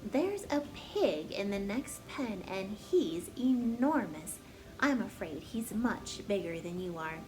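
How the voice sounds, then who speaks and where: A woman's voice: medium pitch, sing-song, darkish tone, faint music behind, poor audio. Woman as a polite, composed, dryly frank spider. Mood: confiding. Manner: polite, measured, dry.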